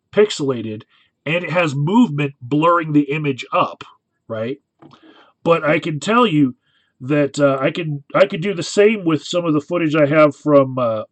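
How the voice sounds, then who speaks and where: Higher-voiced man giving casual analytical commentary. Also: no echo. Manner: conversational, frequently checks in with "right?". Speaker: video commentator.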